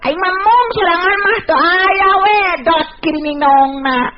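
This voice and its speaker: Very shrill, cackling crude rogue. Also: male voice, measured pace. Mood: grumbling, mocking. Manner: mocking, coarse colloquial talk. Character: Si Keling, crude, cackling, teasing rogue villain. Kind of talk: Sundanese radio storytelling drama. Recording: dull noisy old radio tape.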